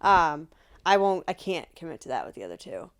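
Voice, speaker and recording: A woman co-hosting a podcast, chatty and quick to laugh along with her guests. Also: low-pitched, sing-song, a bit bright, a little hiss or hum. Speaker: podcast co-host. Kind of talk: casual chat.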